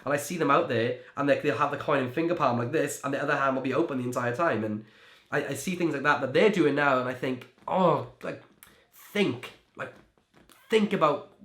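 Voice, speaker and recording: A man's voice: medium pitch, fairly bright tone. A young man from Wales, a magician, answering interview questions in a chatty way, full of "like" and restarts. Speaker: magician and creator. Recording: echoey room.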